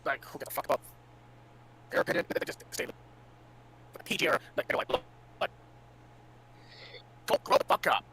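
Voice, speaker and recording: A brash man with a high voice and very animated intonation banters heatedly on a podcast, swearing freely. A faint hum sits behind him. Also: normal speed, long pauses.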